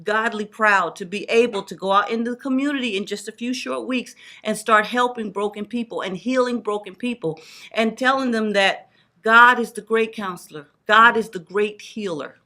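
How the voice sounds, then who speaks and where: A woman's voice: on the high side, moderate pace, sharp tone. A woman in her forties giving personal testimony as an evangelist, with emphatic, repeated religious phrasing. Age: 40s. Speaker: guest evangelist and student.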